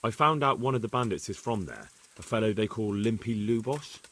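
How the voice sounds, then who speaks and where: A man's voice: fairly low pitch, animated, brisk, fairly bright tone. A man playing an earnest, deferential retainer in a video game, speaking respectfully and plainly to his superior. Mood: respectful, reporting. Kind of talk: video game cutscene acting.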